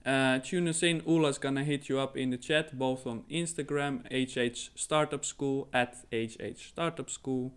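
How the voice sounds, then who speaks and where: A man's voice: mid-range voice, fairly even intonation, moderate pace, few pauses, clear, resonant tone. A man hosts a casual live-streamed interview. He chats easily and backs up his guest with short agreeing words. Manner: friendly, affirming with short yeahs. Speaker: host.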